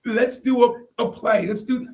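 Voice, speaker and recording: A man's voice: very high voice, fairly even intonation, unhurried. A man talking casually in a panel discussion, stressing his points by repeating phrases. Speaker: podcast panel host. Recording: roomy, distant from the mic.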